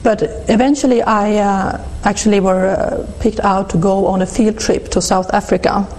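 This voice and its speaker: A Swedish woman in her fifties with a Swedish accent, speaking as an interview guest and recounting her life in a hesitant, halting way with many restarts. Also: medium pitch, fairly even intonation, a little mellow, slight background noise. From Sweden. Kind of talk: reflective personal testimony.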